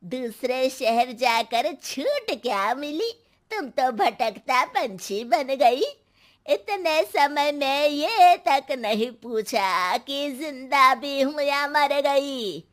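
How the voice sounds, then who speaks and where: A woman voicing a sharp-tongued, bossy old grandmother in a cartoon family story, scolding and sarcastic. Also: sits high, animated, extremely slow, full character voice.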